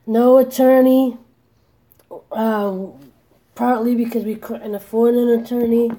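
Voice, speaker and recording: A woman in her forties speaks slowly in a flat, low-key voice with a dark, mellow tone. She talks candidly to her vlog camera, earnest and a little worried, often restarting her sentences and leaning on "you know.". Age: 40s. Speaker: vlogger.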